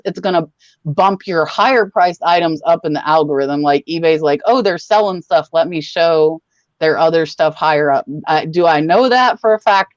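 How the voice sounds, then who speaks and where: A woman hosting a casual livestream chat, talking in a brisk, rambling, matter-of-fact way. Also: lowish voice, dry recording, muffled recording. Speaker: host.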